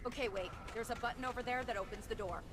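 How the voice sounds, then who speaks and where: Woman acting, high voice, tough skeptical game companion, brisk, commanding, very noisy background. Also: lightly acted. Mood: focused. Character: tough, watchful, skeptical female action companion. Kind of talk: video game cutscene acting. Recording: heavy ambient and vehicle noise behind.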